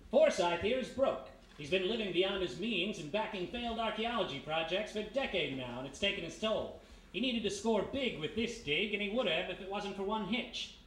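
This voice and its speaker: A man's voice: sits high, fairly bright tone. A man playing a brash, wisecracking American private detective in a live 1930s-style radio play. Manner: wisecracking, slangy, explaining confidently. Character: brash American private detective, reformed thief, laying out a case. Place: live performance in a historic house. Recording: roomy live stage performance, faint noise.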